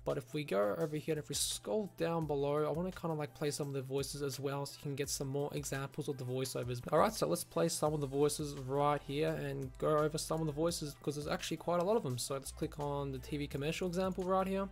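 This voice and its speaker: A man giving a casual, rambling software review, often saying "you know" and "like". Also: fairly high pitch, steady tone, quick pace, vocal fry, few pauses. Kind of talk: casual screen-recorded review. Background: background music.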